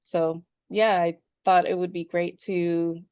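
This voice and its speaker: Smooth-voiced, slow, hesitant woman guest. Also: lowish voice, level tone, darkish tone, no echo. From New York, USA, born in Peru. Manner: hesitant, halting, thinking as she speaks. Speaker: podcast guest. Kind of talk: personal storytelling in an interview.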